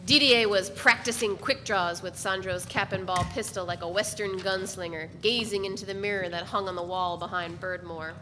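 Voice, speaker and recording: A woman's voice: medium pitch, fairly even intonation, normal speed, few pauses, sharp tone. A woman reading scripted first-person literary narration aloud at a live event. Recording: reverberant hall, noisy live recording.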